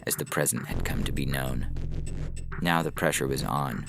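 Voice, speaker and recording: A man's voice: very deep voice, moderate pace, gravelly. A man reading scripted science fiction story narration. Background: music.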